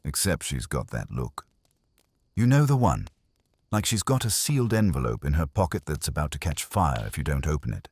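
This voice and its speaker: A man's voice: extremely low pitch, big pitch swings, normal speed, darkish tone, husky, no room sound, studio quality, exaggerated voice. A man voicing a wry, streetwise detective's assistant with casual, slangy, teasing talk in a mystery audiobook. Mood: persuasive.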